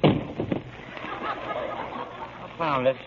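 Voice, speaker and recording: Remorseful ne'er-do-well son, 1940s radio drama, self-blaming, big promises, muffled hissy sound. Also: male voice, very slow. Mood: drunken self-reproach.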